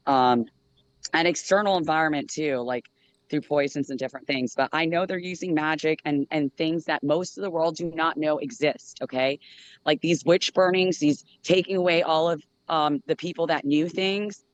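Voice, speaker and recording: A man's voice: a little high, normal speed. Earnest rambling man on dull call audio. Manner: earnest, hesitant, many fillers and restarts. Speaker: guest panelist. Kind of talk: rambling personal account.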